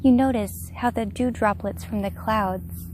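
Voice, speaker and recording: A woman leading a guided meditation in a gentle, unhurried, soft-spoken way. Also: fairly high pitch, a little hiss or hum. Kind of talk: guided meditation, slow soothing narration.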